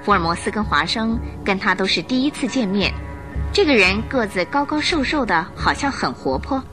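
A woman narrates a radio drama with dramatic, scripted delivery. Her mid-pitched voice is bright and animated, with faint music behind it. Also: moderate pace.

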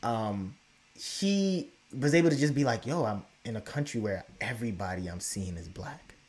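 A man speaking in a relaxed, reflective way, leaning on fillers and quick checks like "right?". His voice sits at an ordinary middle pitch. He talks loosely and thoughtfully. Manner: reflective, many fillers like "right?" and "you know". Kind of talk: casual conversation.

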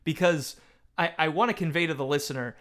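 A man speaking as a podcast panelist in a casual book discussion, retelling things in an animated way. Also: on the high side, brisk.

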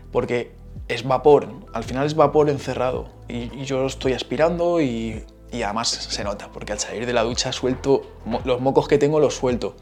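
A man's voice: medium pitch, darkish tone. A man with a Madrid Spanish accent, hosting a casual solo podcast, chatty and explaining things with asides. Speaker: podcast host.

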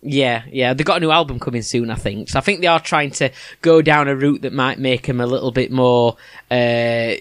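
A man's voice: medium pitch, moderate pace, a bit bright, clear, resonant tone, no echo, clean studio sound. Yorkshire man hosting a casual podcast. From England. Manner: chatty, chuckling, with many restarts. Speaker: podcast host. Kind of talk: casual interview chat.

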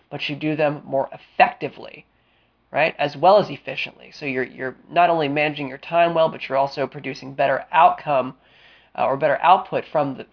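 A man hosting a solo career-advice podcast, smooth-voiced and deliberate, with a cold and a sniffle, explaining with frequent fillers. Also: fairly high pitch, lo-fi sound. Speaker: podcast host.

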